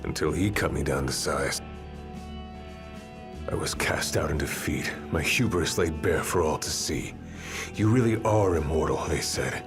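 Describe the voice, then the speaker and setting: A man's voice: a bass voice, measured pace. A man playing a wry, seasoned older warrior in a video game, speaking like a reflective mentor. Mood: rueful. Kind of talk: video game cutscene acting. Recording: music behind the voice.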